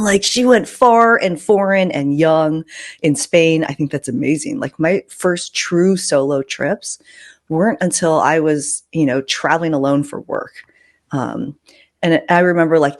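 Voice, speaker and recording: A middle-aged woman hosting a podcast, telling personal stories in a candid, informal way with some swearing. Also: low-pitched. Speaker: podcast host. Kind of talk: casual personal storytelling.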